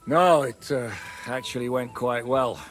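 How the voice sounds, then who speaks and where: An actor playing a practical, plain-spoken leader of a medieval band in a naturalistic game cutscene. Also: male voice, medium pitch, measured pace, fairly bright tone, soft background music, natural voice. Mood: wry, conversational. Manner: blunt, matter-of-fact.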